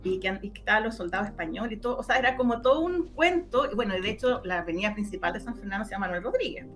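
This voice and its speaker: Woman, middle-aged, Chilean accent, mid pitch, conversational storyteller, searching for words, music behind. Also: lively intonation, rapid-fire, a bit bright. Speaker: interview guest, radio drama maker and journalist.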